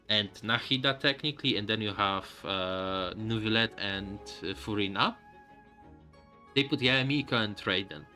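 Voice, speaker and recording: A man's voice: on the low side, bright, forceful tone. A man giving casual live commentary on a game, musing aloud to himself as he plays, with game music behind him. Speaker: game streamer.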